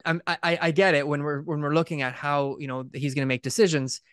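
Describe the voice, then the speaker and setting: A man's voice: a little high, flat delivery, quick pace, a bit bright, clean tone. A man in his thirties chatting casually as a podcast co-host, recorded up close indoors. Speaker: real estate podcast co-host. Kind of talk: casual chat.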